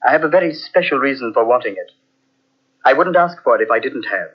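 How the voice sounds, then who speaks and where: A man's voice: mid-range voice, animated, quick pace, husky. An actor playing a secretive male host in an old radio drama, who speaks in a reassuring, evasive way. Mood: guarded. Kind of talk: 1940s radio acting. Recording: dull old radio transcription.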